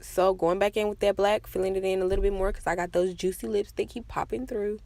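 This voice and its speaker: A woman's voice: mid-range voice, little pitch movement, fast talker, gravelly, darkish tone, dry recording. A woman giving a casual, chatty makeup tutorial voice-over with self-deprecating asides. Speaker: makeup vlogger.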